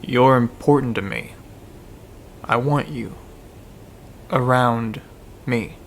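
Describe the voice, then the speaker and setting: A man's voice: mid-range voice, slow pace, a little hiss or hum. An actor in an amateur radio drama playing an earnest, sincere young man who speaks directly. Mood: tender.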